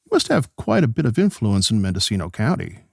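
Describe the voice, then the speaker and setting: An actor playing a polite radio host who gently probes his political guests in a conversational interview style. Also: fairly low pitch, wildly swooping pitch, fast, vocal fry, husky, no room sound, clean studio sound, exaggerated voice. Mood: polite, curious. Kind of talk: pseudo-radio play interview.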